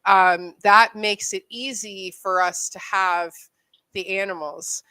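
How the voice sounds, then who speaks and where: A woman's voice: medium pitch, fairly even intonation, slow pace, sharp tone, smooth, no room sound. A woman from Canada's Niagara region in her thirties, hosting a casual chat from home. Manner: chatty, loose, laughs easily. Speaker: show host. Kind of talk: casual video chat interview.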